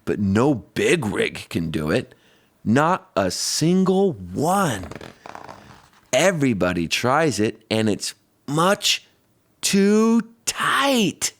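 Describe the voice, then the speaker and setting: A man's voice: medium pitch, huge pitch swings, very slow, a bit bright. A man reading a rhyming children's picture book aloud, playfully stretching and stressing the sound words.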